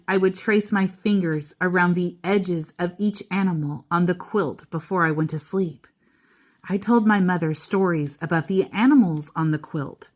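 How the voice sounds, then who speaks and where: A woman reading a children's book aloud as a storyteller, deliberately, pausing between short phrases and adding casual asides. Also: mid-range voice.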